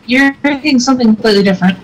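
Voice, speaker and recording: A woman's voice: on the high side, rapid-fire, a crowd in the background, rough recording. A woman chatting casually as a co-host on a voice call, giving blunt, short replies. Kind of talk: casual chat.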